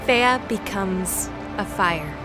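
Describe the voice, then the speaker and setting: A woman playing a bold young warrior goddess who sees the future, measured, confident and ominous in an audio drama. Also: medium pitch, lively intonation. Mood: grave foretelling. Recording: loud dramatic music behind the voice.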